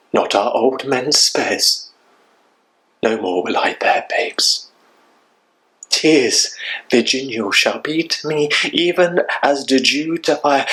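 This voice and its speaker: A man's voice: mid-range voice, sharp tone, poor audio. A man playing a grief-stricken, vengeful young warrior in a Shakespeare monologue, declaiming with anguished intensity.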